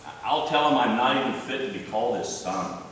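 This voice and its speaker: A man playing a remorseful, self-blaming prodigal son in a church readers theater monologue, halting and emphatic as he confesses. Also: medium pitch, expressive, fairly bright tone, close to an everyday voice. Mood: ashamed, resolving. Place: large church room, live before congregation. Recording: echoey church hall from far off, faint noise.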